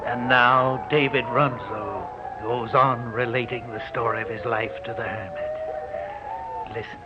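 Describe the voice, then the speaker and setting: An actor playing a sinister old hermit who tells horror stories in a theatrical, ominous, drawn-out way on old radio. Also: male voice, mid-range voice, huge pitch swings, over loud music. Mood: gleefully ominous. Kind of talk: 1940s radio horror narration. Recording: muffled, very noisy old radio recording.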